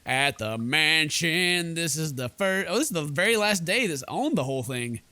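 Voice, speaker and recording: Bright-voiced, theatrical man doing game commentary. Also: on the high side, big pitch swings, moderate pace, no echo. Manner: theatrical, goofy. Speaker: gaming video host. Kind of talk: playthrough commentary with dramatic read-aloud narration.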